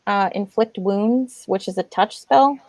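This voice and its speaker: A woman's voice: medium pitch, measured pace, a little mellow, a little hiss or hum. A woman playing an online tabletop game, talking casually and tentatively as she checks the rules. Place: online voice call.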